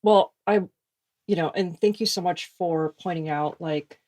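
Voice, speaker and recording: A woman's voice: lowish voice, measured pace, a little mellow. A woman co-hosting a podcast in casual, affirming chat. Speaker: podcast co-host. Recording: voice a bit distant from the mic.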